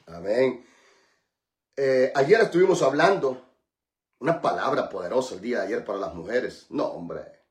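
A man's voice: medium pitch, animated, moderate pace, pauses often, reverberant. A man hosting a radio prayer program, praying fervently with repeated invocations and chatting with listeners between prayers. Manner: fervent repetitive praying, then chatty and joking. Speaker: radio pastor.

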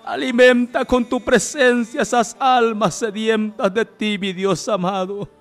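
High-voiced man in fervent prayer over music. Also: fairly even intonation, unhurried. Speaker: preacher leading prayer. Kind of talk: fervent Pentecostal healing prayer. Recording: music playing behind the voice.

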